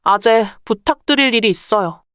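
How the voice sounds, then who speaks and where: A woman's voice: on the high side, moderate pace, a bit bright, smooth, no echo, studio quality, natural voice. A woman playing a clever, bold young merchant's daughter in a folktale, speaking politely and persuasively. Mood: firm. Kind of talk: acted Korean folktale dialogue.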